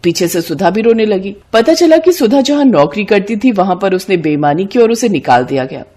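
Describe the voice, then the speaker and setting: A woman's voice: medium pitch, very animated, brisk, mellow. A woman narrating a scripted moral story as a storyteller.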